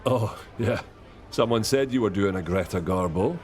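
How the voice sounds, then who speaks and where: An actor playing a gruff, cheeky pub regular with deadpan humour in a radio soap. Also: male voice, fairly low pitch, moderate pace, a little hiss or hum, close to an everyday voice. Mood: deadpan, joking. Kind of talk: naturalistic radio soap acting.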